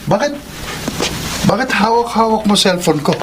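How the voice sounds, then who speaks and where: A man's voice: high-pitched, expressive, moderate pace, background noise. A man playing a defensive, evasive husband who stammers out excuses in a Tagalog radio drama.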